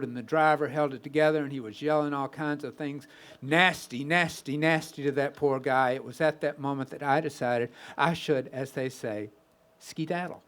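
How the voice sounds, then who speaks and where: Guy doing a tired chatty deer telling his comic tale, folksy n rambly, bit high for a man. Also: lightly acted. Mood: excited recounting. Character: weary, rambling, folksy male deer with antlers. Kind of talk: first-person comic storytelling.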